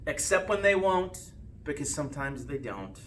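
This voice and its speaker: A man reading a rhyming children's storybook aloud with expressive, sing-song phrasing and drawn-out line endings. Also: fairly high pitch. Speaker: school principal reading a storybook. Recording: distant mic with faint background noise.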